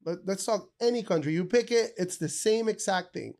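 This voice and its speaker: High-voiced man from Ecuador, animated. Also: moderate pace, gravelly. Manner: animated storyteller, says bro and dude a lot. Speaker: podcast guest. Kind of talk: casual podcast conversation.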